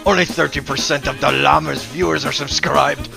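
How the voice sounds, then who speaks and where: A man's voice: on the high side, steady tone, moderate pace, vocal fry, music in the background. A man hosting a channel, reading aloud and tossing in casual asides in a playful, theatrical way. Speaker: channel host.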